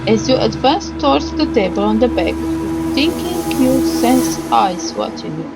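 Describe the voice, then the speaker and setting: High-voiced woman reading aloud over music. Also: very animated. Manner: halting read-aloud with stumbles and pauses. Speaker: gamer reading a visual novel aloud. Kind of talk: game playthrough narration with asides. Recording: music behind the voice. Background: game music.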